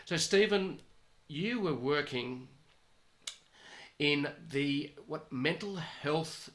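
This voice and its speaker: An Australian man hosting a conversational interview show, speaking in a halting, rambling way. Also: fairly high pitch, animated, fairly bright tone. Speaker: podcast host.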